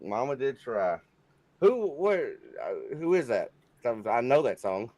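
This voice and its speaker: A man offers color commentary for an online racing league. He is folksy and teasing, and he ribs his partner between calls. His voice sits at an ordinary middle pitch. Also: sing-song, a little slow, darkish tone, dry recording. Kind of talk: casual race broadcast banter.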